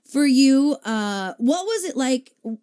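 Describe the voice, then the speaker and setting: A woman hosting a chatty radio interview in a studio, enthusiastic and quick to react with admiration. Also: high-pitched, expressive, dark tone. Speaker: radio host interviewing a musician.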